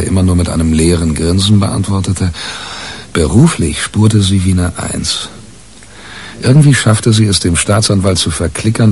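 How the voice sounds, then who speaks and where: Male narrator for crime radio play: cynical newsman, low and gravelly, wry and cocksure. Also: darkish tone. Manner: wry, colloquial, boastful. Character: cynical, self-assured male press reporter telling his story.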